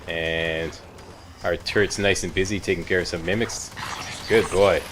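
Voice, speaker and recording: A man's voice: low voice, steady tone, moderate pace, background noise. A man giving casual, folksy video game walkthrough commentary with wisecracking asides.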